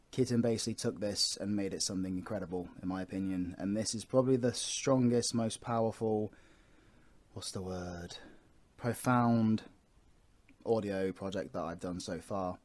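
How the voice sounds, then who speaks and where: A British man with a fairly low voice introduces his audio work off the cuff. He is chatty and earnest, talking directly and informally to his listeners. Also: darkish tone. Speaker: voice actor and audio roleplay creator. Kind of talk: unscripted, heartfelt introduction to listeners.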